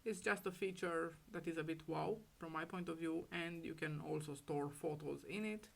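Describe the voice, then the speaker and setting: A woman with a cold and a raspy, hoarse voice, showing off a handmade photo album in casual, halting talk full of ums. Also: low voice. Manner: hesitant, many ums, fond and gushing. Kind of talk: casual craft show-and-tell.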